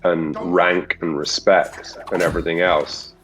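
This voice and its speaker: A man's voice: deep voice, flat delivery, unhurried, fairly bright tone, faint music behind. A man being interviewed as a voice actor, talking thoughtfully with frequent restarts and fillers. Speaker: voice actor guest. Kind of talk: reflective interview talk. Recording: muffled lo-fi audio.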